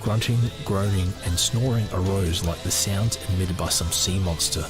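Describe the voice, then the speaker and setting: A man's voice: sits low, moderate pace, music in the background. A man hosting a book-reading podcast, moving between reading aloud and informal, thinking-aloud commentary. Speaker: podcast host and book reader.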